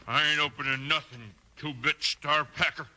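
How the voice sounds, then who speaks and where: An actor playing a belligerent Old West outlaw with an American accent and slangy speech, in 1950s radio western style. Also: male voice, a little high, fairly even intonation, moderate pace, bright, forceful tone. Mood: defiant. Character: snarling, defiant Old West outlaw villain. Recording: noisy vintage 1950s radio recording.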